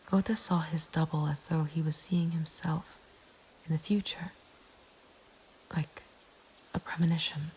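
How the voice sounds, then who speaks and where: A woman narrating a scripted horror story in a breathy ASMR whisper, with a hushed, deliberate delivery. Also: deep voice, steady tone. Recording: faint ambient background noise. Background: soft nature ambience.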